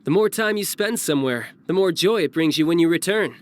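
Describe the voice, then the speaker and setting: Breezy, higher-voiced young schemer played by a man. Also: animated, brisk, natural voice. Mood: wistful. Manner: smooth-talking, nonchalant, playful. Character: breezy, cunning young male fighter and schemer. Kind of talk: video game cutscene voice acting.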